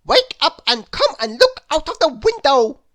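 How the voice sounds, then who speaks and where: An excitable, bossy storybook chap, played by a woman, calling out in a high, exaggerated voice. He is loud and exclamatory, with pitch leaping about. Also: unhurried, no echo, full character voice. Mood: excited. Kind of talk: children's storybook character voice.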